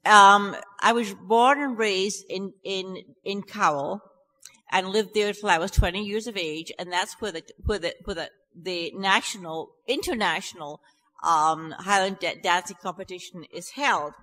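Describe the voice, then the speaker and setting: A woman's voice: medium pitch, measured pace, bright, forceful tone. A Scottish woman chatting to a live audience before she sings, nervous and self-deprecating, with many restarts. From Scotland. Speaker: festival singer introducing a song. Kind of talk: chatty stage talk to an audience. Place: church hall. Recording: live recording in a reverberant hall.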